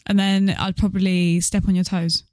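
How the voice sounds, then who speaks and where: A woman's voice: mid-range voice, level tone, normal speed, darkish tone, dry recording. A young woman co-hosting a podcast, chatting casually in a playful, questioning way. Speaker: podcast co-host. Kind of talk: casual chat, reacting to stories.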